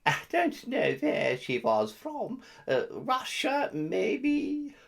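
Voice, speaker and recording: A woman playing a boastful, lazy self-styled guru, telling a mock-grand story in a slow drawl with made-up words. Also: high-pitched, very animated, creaky, slight background noise, full character voice. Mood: smug. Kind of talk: comedic character monologue.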